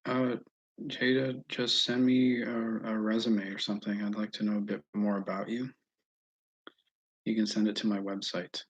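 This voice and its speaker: An American man chatting casually and haltingly on a livestream, often restarting his sentences as he thinks aloud. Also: lowish voice, flat delivery, breathy edge, lo-fi sound. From Northeast United States, mostly Massachusetts. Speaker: livestream host answering viewer comments. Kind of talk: casual rambling livestream chat.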